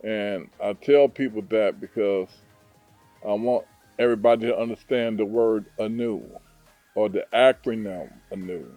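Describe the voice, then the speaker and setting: Earnest man, mellow voice. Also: on the low side, no echo, faint music behind. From Detroit, USA. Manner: earnest, unhurried, with frequent fillers. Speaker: hotel owner. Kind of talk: interview answers. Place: hotel in Zanzibar.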